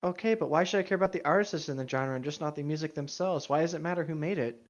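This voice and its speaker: A podcast co-host chatting casually and reading a story aloud mockingly, blunt, exasperated and quick to swear. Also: medium pitch. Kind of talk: casual banter and mock fanfiction reading. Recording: dull, lo-fi microphone sound.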